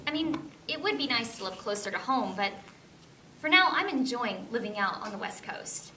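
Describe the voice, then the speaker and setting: A woman acting as an inquisitive young woman in a stage play. She talks in a high, earnest, chatty way, heard from a distance in an echoing, noisy room. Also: animated, normal speed. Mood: chatty, wistful. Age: young adult. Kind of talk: amateur stage play dialogue and monologue. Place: indoor stage performance, recorded from off. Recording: echoey distant stage recording, loud noise.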